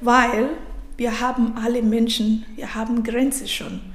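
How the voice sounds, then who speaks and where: A woman in her thirties from Africa, speaking German as a second language, telling her personal story as a podcast guest in a reflective, halting way with many restarts. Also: fairly high pitch, little pitch movement, roomy sound. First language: not German. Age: 30s.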